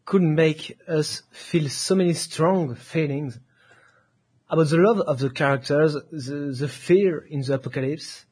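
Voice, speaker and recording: A man's voice: on the high side, measured pace, a little mellow, husky. A man chatting earnestly about a video game on an online call, stammering a little as he goes. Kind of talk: casual group chat about a video game.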